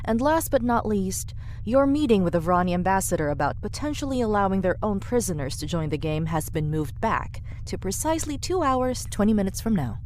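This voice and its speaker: A woman's voice: medium pitch, big pitch swings, a little fast, darkish tone, slight background noise, lightly acted. A woman playing a poised, devoted assistant in an audio drama, speaking formally and precisely with dry deference. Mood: composed, deferential. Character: poised, devoted female assistant, formerly a pirate.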